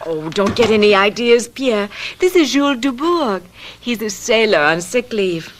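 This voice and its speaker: An emotional young Frenchwoman in an old radio drama. Her voice is high and very animated. She is coquettish and melodramatic in the manner of vintage radio. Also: unhurried, slight background noise. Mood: soothing, affectionate.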